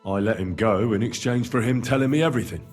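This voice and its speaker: An actor playing a young, plain-spoken adventurer in a medieval video game, terse and matter-of-fact. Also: male voice, mid-range voice, fast talker, faint music behind, natural voice. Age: young adult. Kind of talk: video game cutscene acting.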